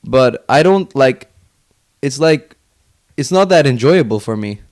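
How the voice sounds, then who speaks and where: Man, from Finland, smooth clear voice, medium pitch, casual podcast guest, informal filler-heavy phrasing. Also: expressive, measured pace, darkish tone. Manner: informal, peppers talk with "like". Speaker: podcast guest, young entrepreneur. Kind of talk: casual interview chat.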